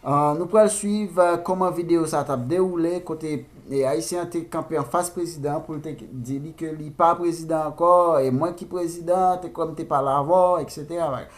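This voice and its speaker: Male channel host, Haitian accent, voice a bit high, informal news commentary, halting delivery.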